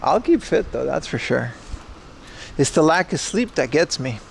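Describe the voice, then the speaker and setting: Man, casual IRL streamer, somewhat high voice, chatty, faint street noise behind. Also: very animated, measured pace, vocal fry. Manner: chatty, reads chat aloud, mock outrage. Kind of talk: casual livestream chat while walking. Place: outdoors on city streets.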